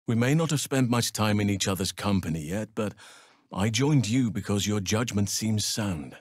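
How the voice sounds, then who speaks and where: An ancient male elf druid from a fantasy video game. His voice sits low and is recorded close and dry. He speaks formally and calmly, with an earnest, solemn love of nature. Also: no echo. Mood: sincere, gentle. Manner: measured, formal, solemn phrasing. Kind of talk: video game character dialogue.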